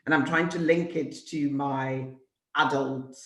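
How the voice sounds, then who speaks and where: A woman in her fifties from Uganda, a podcast guest telling her life story in a measured, reflective way. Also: extremely low pitch, steady tone. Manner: measured, warm storytelling with vivid recollection. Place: temporary home in Vienna. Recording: echoey room sound.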